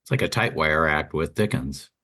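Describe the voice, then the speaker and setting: A man's voice: very deep voice, animated, moderate pace, sharp tone. A man hosting a conversational radio interview about the arts, putting questions and comments to a guest.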